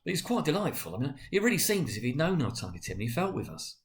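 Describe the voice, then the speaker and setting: Storybook father, played by a man: tender, eager, grieving, halting speech, highly animated pitch. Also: natural voice. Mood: eager, admiring. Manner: halting, stammering with repeated words. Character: Bob Cratchit, tender, grieving, eager working-class father. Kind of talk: dramatic storybook reading.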